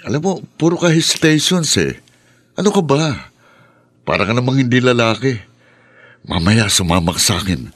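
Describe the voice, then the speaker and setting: A man's voice: mid-range voice, big pitch swings, normal speed, pauses often, fairly bright tone, no echo, studio quality. An actor playing a pushy, scheming Filipino man in a radio drama, insistent and persuasive. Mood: coaxing, impatient. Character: pushy, scheming Filipino friend urging a gamble. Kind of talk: Tagalog radio drama acting.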